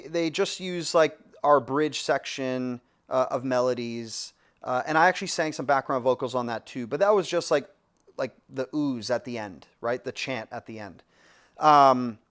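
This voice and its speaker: A man, a music producer, casually telling a studio story with lots of fillers and restarts. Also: fairly high pitch. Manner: relaxed, rambling with many fillers and restarts.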